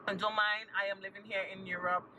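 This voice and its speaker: A young woman talks chattily to her viewers, leaning on repeated words and cheerful encouragement. Her voice sits some way from the mic, over a loud, steady background noise. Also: mid-range voice, brisk, distant mic, dull audio. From Trinidad and Tobago. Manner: chatty and upbeat, repeats words for emphasis, gives encouraging advice. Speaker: vlogger. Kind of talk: casual chat to camera.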